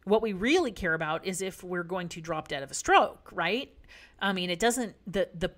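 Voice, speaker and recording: Podcast host, female physician, lowish voice, casual explainer with ums and asides. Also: expressive, moderate pace, fairly bright tone. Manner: conversational, frequent fillers, emphatic asides.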